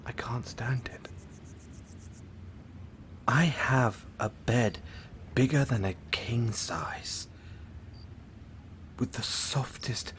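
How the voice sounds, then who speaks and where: A man playing a lonely, lovesick supervillain in an audio roleplay, theatrical and halting, often dropping into breathy near-whispers. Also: medium pitch, fairly bright tone. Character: lovesick, lonely supervillain, suave musical phantom, obsessive and theatrical. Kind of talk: intimate audio roleplay acting.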